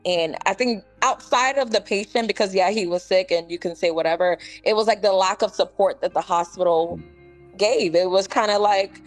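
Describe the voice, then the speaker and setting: A woman's voice: mid-range voice, moderate pace, soft background music, low-quality recording. A woman in her twenties from Ghana, a nurse chatting casually as an interview guest with lots of "like" and "you know". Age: 20s.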